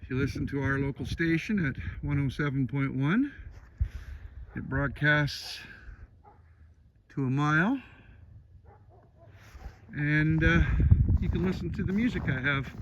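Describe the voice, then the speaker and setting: A man narrates a homemade tour in a slow, easygoing way. His delivery is casual and matter-of-fact, rambling a little as he goes. He is recorded outdoors on a rural property with clear background noise. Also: on the high side. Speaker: homeowner giving a tour of his Christmas light display. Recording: noisy outdoor amateur recording.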